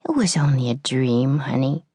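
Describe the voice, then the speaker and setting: A woman's voice: a bass voice, expressive, normal speed, warm tone, breathy edge, no echo, clean studio sound, exaggerated voice. A woman voicing a tough but caring young orphan girl who leads the others, plain-spoken and slangy, in an audiobook. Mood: soft, soothing.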